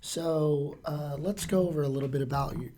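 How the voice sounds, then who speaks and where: A man from California with a hoarse, raspy voice after a lot of talking, chatting casually as he interviews a guest in a real estate office. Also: a little high, fairly even intonation, moderate pace, warm tone. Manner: chatty, with frequent "uh" fillers.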